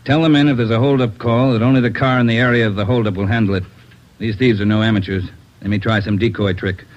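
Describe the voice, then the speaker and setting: A man's voice: fairly low pitch, fairly even intonation, fast talker, lightly acted. A man playing a curt, authoritative police chief giving orders in a 1940s radio drama. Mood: commanding. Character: brisk, commanding male police chief. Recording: muffled vintage radio recording.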